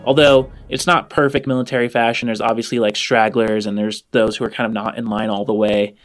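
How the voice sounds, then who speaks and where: A man narrating as game master of a sci-fi tabletop game, improvising descriptions in deliberate, drawn-out phrases. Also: on the low side, little pitch movement, faint music behind.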